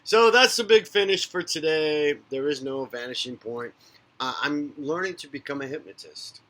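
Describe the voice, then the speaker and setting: A man with a bright, forceful voice talks straight to his viewers in an unscripted spiritual monologue. He rambles and speaks candidly, swearing freely, rising to loud rants and then dropping to soft murmurs. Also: a little high, moderate pace. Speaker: psychic medium and spiritual talk host. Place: based in a big city.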